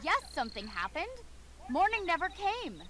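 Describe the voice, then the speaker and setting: A woman's voice: extremely high pitch, sing-song, normal speed. A woman voicing a worried young townswoman in a fantasy video game. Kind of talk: scripted video game voice acting. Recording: game audio with clear background noise.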